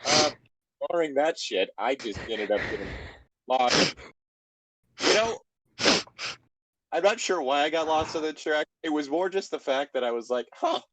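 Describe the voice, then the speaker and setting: A man's voice: medium pitch, background noise. A man hosting an online show in casual, rambling banter, self-deprecating and prone to swearing.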